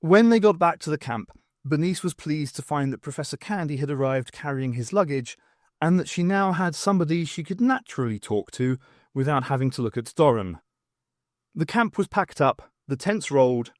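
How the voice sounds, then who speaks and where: Expressive male audiobook narrator, lively and higher-pitched. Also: normal speed, no room sound, studio quality. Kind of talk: expressive scripted fiction narration.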